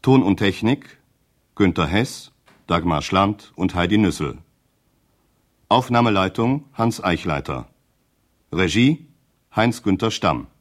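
Male announcer, low voice, very unhurried pace, formal radio credits delivery. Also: lively intonation. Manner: formal, deliberate.

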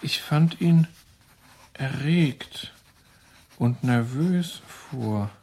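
An actor playing a writer who slowly reads out formal letters and documents in a radio drama. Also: male voice, medium pitch, expressive, a little mellow, heavily acted. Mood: formal, deliberate. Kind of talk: slow scripted reading of letters and documents.

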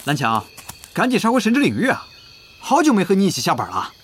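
Eager young teammate, wildly animated. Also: male voice, sits high, fast, a little hiss or hum. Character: eager, friendly young male guild teammate. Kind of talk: audio drama acting.

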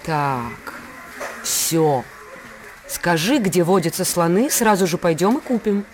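A woman playing a patient mother, giving measured, scripted educational storytelling to her child. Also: on the low side, expressive, a little mellow, slight background noise. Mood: weary, exasperated.

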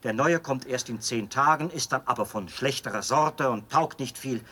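An actor playing a zealous, pedantic execution officer in a radio drama, explaining with precise, emphatic delivery. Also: male voice, medium pitch, moderate pace, sharp tone, breathy edge. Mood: aggrieved, defensive. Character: zealous male execution officer, pedantic, devoted to his machine.

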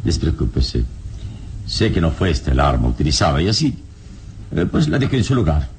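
A heroic adventurer of radio serials, with a very low voice and wide, theatrical swings of pitch. He speaks with serene dignity and pride, measured and unbowed. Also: very animated, a little hiss or hum, heavily acted. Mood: calm, reassuring. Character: serene, proud heroic adventurer who bows to nobody. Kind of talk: radio drama acting.

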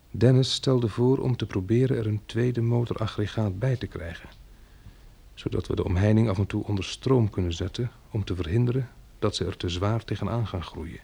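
A weary, short-tempered survivor in a radio drama. His low voice is gravelly and husky, that of a man worn down by defending his farmstead. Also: a little mellow. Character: weary, irritable male survivor running a besieged farmstead. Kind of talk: radio drama acting with diary narration.

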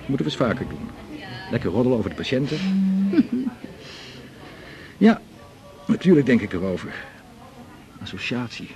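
A cheerful Dutch doctor in a radio drama. His voice has a creaky grain and moves expressively as he confides in a casual, slightly hesitant way. Soft music sits behind him. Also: medium pitch, wildly swooping pitch, moderate pace, pauses often, poor audio. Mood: cheerful, chatty. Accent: Dutch from the Netherlands. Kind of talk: naturalistic radio drama dialogue.

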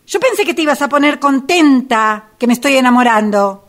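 A woman's voice: high voice, animated, brisk, a bit bright. An Argentine woman playing a weary caregiver sister who is in love and defiant, in an amateur radio drama. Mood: defensive, defiant.

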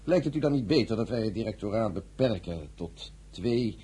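An actor playing a smooth, scheming politician in a radio drama, formal, ingratiating and persuasive. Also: male voice, fairly low pitch, expressive, moderate pace, warm tone. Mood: insinuating.

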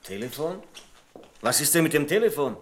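An actor playing a proper, principled bank clerk in a radio play, speaking in a formal, precise and argumentative way. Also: male voice, on the high side, very animated, moderate pace, slight background noise. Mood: worried. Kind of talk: German radio play acting.

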